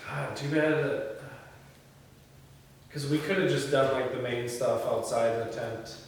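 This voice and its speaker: A man's voice: mid-range voice, fairly even intonation, measured pace. A man reading stage directions and chatting casually at a script table read, recorded indoors from a distance. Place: indoor room, reverberant, mic at a distance. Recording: echoey room from far off, faint noise.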